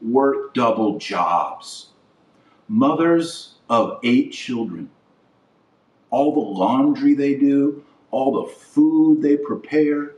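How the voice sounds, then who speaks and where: A man's voice: medium pitch, expressive, extremely slow, darkish tone. A man hosting a podcast as a religious commentator, delivering an impassioned, indignant opinion monologue with emphatic repetition and rhetorical questions. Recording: echoey room, slightly distant mic.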